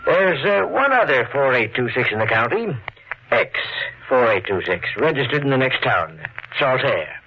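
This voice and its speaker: Helpful, hesitant small-town clerk, very animated intonation, vintage radio drama with dull sound. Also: male voice, medium pitch, faint music behind. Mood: hesitant, obliging. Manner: hesitant, helpful, drawn-out explaining with hedges. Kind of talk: 1940s radio drama acting. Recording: muffled, noisy 1940s radio recording.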